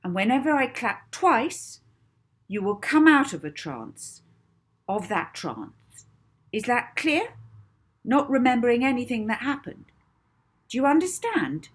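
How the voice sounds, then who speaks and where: A woman voicing a confident young girl in a children's audiobook, giving firm, deliberate instructions. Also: on the high side, big pitch swings, breathy edge, pitched above the performer's own voice, full character voice. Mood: commanding.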